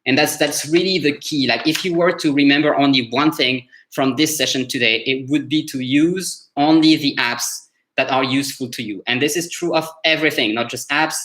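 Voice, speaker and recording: A man with a French accent leads a live language lesson in a loose, conversational way, often restarting his sentences. His voice sits at a middle pitch. The room has a noticeable echo. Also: normal speed. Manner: relaxed, conversational, many fillers and restarts. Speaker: French coach. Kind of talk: casual live teaching session. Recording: roomy echo.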